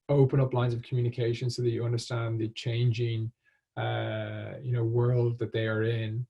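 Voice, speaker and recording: A man giving a business presentation as a speaker, in a halting, hesitant way with frequent ums. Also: mid-range voice, mellow, poor audio. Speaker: conference speaker.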